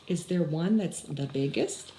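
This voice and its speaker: A woman voicing a young male mouse from a children's storybook, eager and animated in simple phrases. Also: low-pitched, unhurried, a little hiss or hum, low-quality recording. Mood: puzzled.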